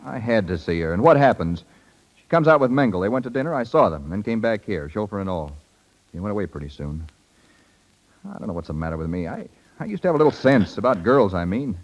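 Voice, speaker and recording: A jealous young husband in a 1930s radio drama. He has a lowish voice and rattles along quickly, clipped and brooding, lovesick underneath. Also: big pitch swings, dull audio. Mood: bitter, agitated. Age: young adult.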